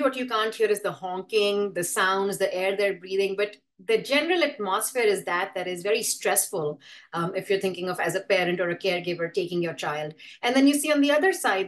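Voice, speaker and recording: Thoughtful woman presenter. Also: mid-range voice. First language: Hindi. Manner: thoughtful, explanatory, often pausing to rephrase. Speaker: presenter with a background in urban design. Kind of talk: conversational presentation to an online or live audience.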